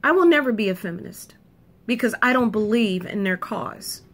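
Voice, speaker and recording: A woman's voice: medium pitch, lively intonation, normal speed. A woman delivering an emphatic, punchy opinion monologue to camera, repeating points for effect. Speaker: video commentator.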